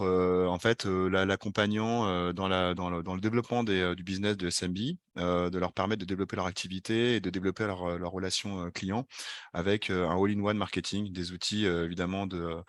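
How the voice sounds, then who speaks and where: A French man speaking on a panel in a very deep, low voice. He introduces himself and his work haltingly, stopping often to search for words. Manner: halting, many fillers and restarts. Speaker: panel guest, head of UX.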